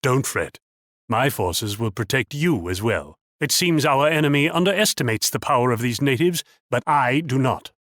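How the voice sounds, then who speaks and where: An actor playing a proud, wealthy military ruler in a video game, pompous and condescending in a theatrical way. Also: male voice, mid-range voice, fairly bright tone, dry recording, studio quality. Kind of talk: video game character voice lines.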